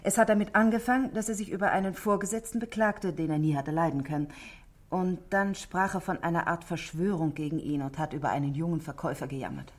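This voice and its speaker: A woman's voice: lowish voice, fast, close to an everyday voice. An actress in a 1960s German radio play, playing a composed, middle-aged married woman who speaks politely and articulately, confiding her worries. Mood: earnest, worried.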